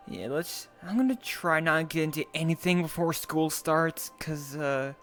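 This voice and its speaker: A performer as a young student new to a world of magic creatures, cautious and halting. The speech is slow and mid-pitched, with soft pauses and hums. Music plays behind the improvised role-play. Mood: wary, wistful. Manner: hesitant, halting, full of sighs and hums. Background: background music.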